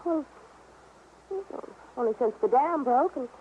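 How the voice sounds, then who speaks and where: An actress with an American accent playing an anxious, evasive wife, hesitant and flustered, in an old radio drama. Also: female voice, high-pitched, slow pace. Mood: anxious, pleading. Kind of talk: mid-century radio drama acting. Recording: tinny old radio recording, faint hiss.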